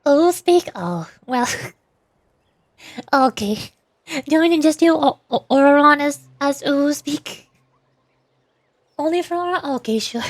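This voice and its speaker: A woman's voice: sits high, animated, measured pace, long pauses, no echo. A woman streamer chatting casually through a game, often tripping over her words and correcting herself. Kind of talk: casual game commentary and voice acting.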